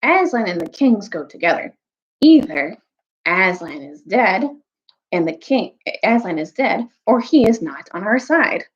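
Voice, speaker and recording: A woman's voice: mid-range voice, very animated, a little slow, dull audio. A woman voicing a bitter, scheming male dwarf in a storybook, grudging and argumentative. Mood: bitter, persuasive. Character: bitter, scheming male dwarf plotting against old legends.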